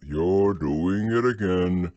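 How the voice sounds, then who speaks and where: A man voicing a humble, earnest, slow-witted bear in a storybook, with a plodding manner. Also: deep voice, big pitch swings, darkish tone, breathy edge, dry recording, lo-fi sound, full character voice. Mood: insistent. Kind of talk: storybook character reading.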